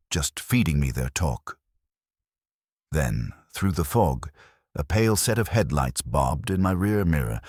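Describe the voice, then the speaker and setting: Male horror narrator, very deep pitch, raspy edge, suspenseful scripted storytelling, dry close sound. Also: no echo.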